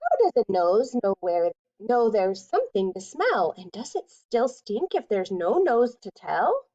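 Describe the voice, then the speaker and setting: A woman reading a children's picture book aloud in an expressive, deliberate way with dramatic emphasis. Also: medium pitch, dull audio. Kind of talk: read-aloud children's storytelling.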